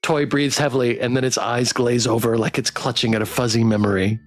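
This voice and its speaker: A man streaming a live game playthrough, reading the story aloud in an expressive, theatrical way and chatting with viewers. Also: medium pitch, brisk, no echo. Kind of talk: live playthrough narration with character read-alouds.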